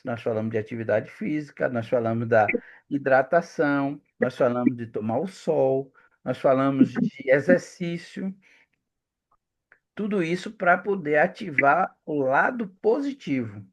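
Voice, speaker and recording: A man's voice: mid-range voice, a little slow, fairly bright tone. A man hosting a casual chat, putting questions to his guest and joking about himself. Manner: asks questions, self-mocking. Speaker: host.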